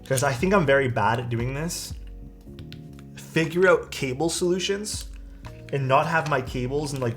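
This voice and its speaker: A young man hosting a livestream, chatting casually and rambling to his viewers from a small rented room. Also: medium pitch. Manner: rambling, easygoing, talks to chat. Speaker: livestream host building keyboards. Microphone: streaming mic.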